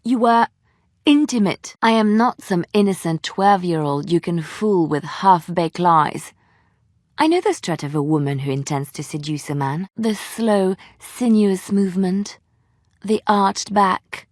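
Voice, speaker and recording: Young female bard in a fantasy game: romantic, earnest, expressive, mid-range voice, very dry studio sound. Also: medium pitch, dry recording. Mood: flustered, accusing. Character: romantic, devout young woman bard, easily flustered. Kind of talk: video game character dialogue and storytelling.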